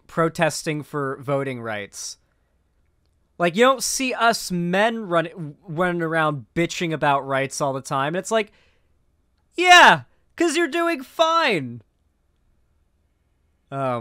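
A young man giving off-the-cuff political reaction commentary as a streamer, halting and repeating himself, sardonic and sweary. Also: fairly high pitch, very animated, bright, forceful tone, clean tone, no echo, clean studio sound. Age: young adult. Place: indoor streaming room.

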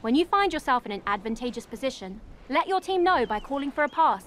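A woman's voice: high voice, big pitch swings, fast, noisy background. A woman playing an encouraging young player who coaches beginners through a scripted video game tutorial, speaking in an instructive way. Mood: eager, instructive. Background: music.

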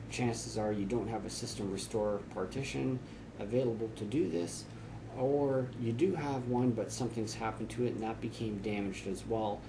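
A man in his forties explaining computer steps to camera in a relaxed, chatty way with frequent fillers, filmed in a small office. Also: fairly low pitch, noisy background. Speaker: tech tips host. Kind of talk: casual how-to explanation to camera.

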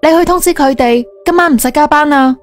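Woman acting a high-voiced Cantonese lady boss. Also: fairly quick, lightly acted. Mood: commanding. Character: bossy yet soft-hearted female company boss. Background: background music.

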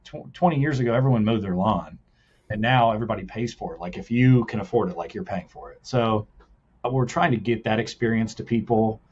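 Husky low-voiced man, lo-fi sound. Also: brisk, darkish tone. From United States. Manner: earnest, explains step by step with many "like" and "right?". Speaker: laundromat owner guest. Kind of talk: casual interview chat.

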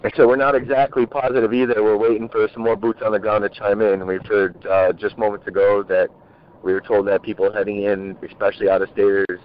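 A man's voice: fairly low pitch, flat delivery, fairly quick. A man talking casually and bluntly on a group conference call over the phone. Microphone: telephone. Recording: muffled tinny phone conference line.

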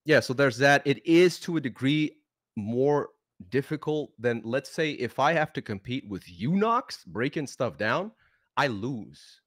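A lively Belgian man, Dutch his first language, talking in English with an American-sounding accent, his pitch jumping all over as he chats and laughs in quick bursts. He is on a headset mic for a video-call podcast from his home studio, and the sound is close and dry. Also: no room sound. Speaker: podcast co-host and reaction creator. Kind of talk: casual group podcast chat.

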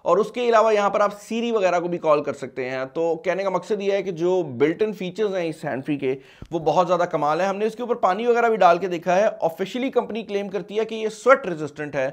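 A man in his twenties with a Pakistani accent, hosting a casual product review and mixing in English words. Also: high-pitched. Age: 20s. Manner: mixes English words and phrases into speech. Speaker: tech review host. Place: small studio at a desk, indoors.

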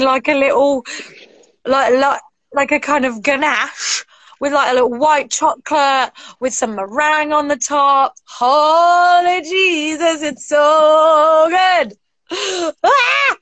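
A young English woman chatting casually on a video call, animated and gushing, full of "like", from a small room at home. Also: high voice, slow, no room sound. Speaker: co-host. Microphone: laptop or webcam on a video call.

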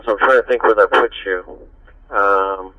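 Low-voiced man over two-way radio. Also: fairly even intonation, normal speed, no echo, lo-fi sound. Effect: two-way digital radio transmission. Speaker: amateur radio operator. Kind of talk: casual radio chat.

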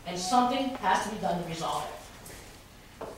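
A woman's voice: on the low side, animated, brisk. A woman making a public complaint at a city council meeting, with many restarts, growing more heated. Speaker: resident speaking at public comment. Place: large wood-paneled council chamber. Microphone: podium mic recorded by a far camera. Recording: echoey chamber from far off, noisy.

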